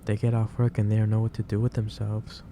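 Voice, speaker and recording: A man playing a gentle, caring office boss in an ASMR roleplay, speaking haltingly with repeated phrases over a noisy background. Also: fairly low pitch, monotone, natural voice. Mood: gently concerned. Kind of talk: soft-paced ASMR roleplay monologue. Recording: clearly noisy background hiss or ambience.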